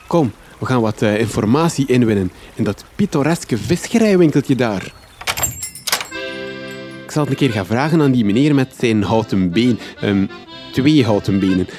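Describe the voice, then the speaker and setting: An actor playing an eager, naive, slightly self-important reporter in a comedy radio drama, talking in an earnest, chatty way. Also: male voice, mid-range voice, expressive, moderate pace, warm tone, faint music behind, lightly acted.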